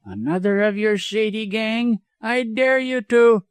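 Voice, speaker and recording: Guy doing a sneering weaselly lawyer for an audiobook, snarly and harsh, voice pushed way up high, dry sound. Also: measured pace, clean tone, no echo. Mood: venomous. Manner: snarling, gasping, harsh, chuckling. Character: venomous, cowardly, sneering male lawyer villain.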